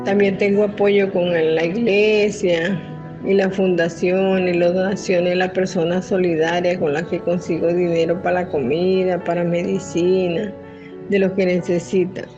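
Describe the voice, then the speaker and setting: An older woman, a retired nurse from the Venezuelan Amazon, calmly narrating her own life story in the first person. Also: lowish voice, measured pace. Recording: dull lo-fi voice-over with music. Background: music.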